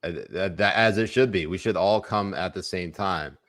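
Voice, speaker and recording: Man, podcast co-host, very low voice, crude teasing banter, dry close mic. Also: normal speed, a bit bright, no echo.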